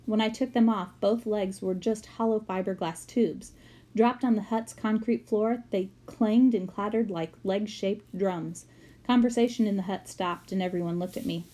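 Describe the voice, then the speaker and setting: Woman, medium pitch, storybook narration, first-person, reading aloud. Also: moderate pace, warm tone. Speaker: reader of a book aloud.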